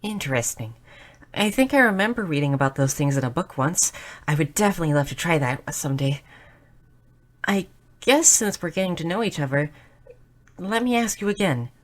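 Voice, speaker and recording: A woman voicing a shy, nerdy, curious young goblin girl in a roleplay audio drama, speaking softly and earnestly with small stammers. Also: deep voice, lively intonation, brisk, long pauses. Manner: soft, hesitant, stammering, earnest.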